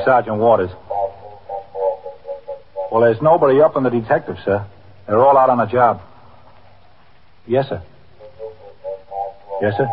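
A man's voice: mid-range voice, natural voice. An actor playing a plain-spoken, dutiful police switchboard sergeant, clipped and workaday, in a 1950s radio drama. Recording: muffled, faintly noisy 1950s radio recording.

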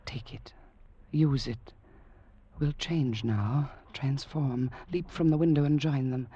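A woman's voice: a bass voice, slow, warm tone, husky, heavily acted. An actress playing a seductive, commanding witch lover who can turn into a cat, intense and imploring in a 1940s radio drama. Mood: hushed, beckoning. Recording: dull, noisy 1940s radio recording.

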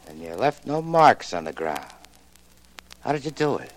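An actor playing a cool, probing crime boss in a 1940s radio drama. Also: male voice, lowish voice, sing-song, moderate pace. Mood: calculating.

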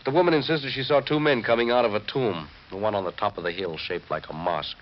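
Actor as a low-voiced, folksy police lieutenant. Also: male voice, fast talker, close to an everyday voice. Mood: weary, offhand. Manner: hesitant, casual questioning with drawled slang. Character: folksy, world-weary male police lieutenant. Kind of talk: 1940s radio mystery acting. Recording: muffled, noisy 1940s radio recording.

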